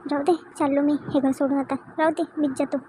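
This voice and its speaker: A woman's voice: sits high, flat delivery, a little fast, warm tone, slight background noise, lightly acted. A woman voicing a hot-headed, whiny son in an amateur cartoon comedy. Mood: sulky, defiant. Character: hot-headed drunk son, sulky then pleading.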